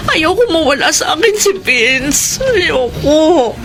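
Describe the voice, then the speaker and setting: A woman's voice: high-pitched, sing-song, takes it slowly, full character voice. A woman acting an evasive, pleading young wife in a Filipino radio drama, with hesitant melodramatic delivery. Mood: pleading, distressed.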